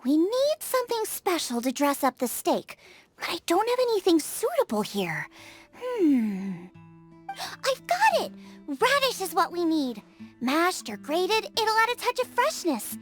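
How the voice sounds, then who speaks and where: Peppy young girl chef, high voice, bouncing all over, music behind. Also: a little slow, exaggerated voice. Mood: instructive. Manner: excitable, bossy instructions. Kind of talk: game voice acting. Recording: game soundtrack under voice.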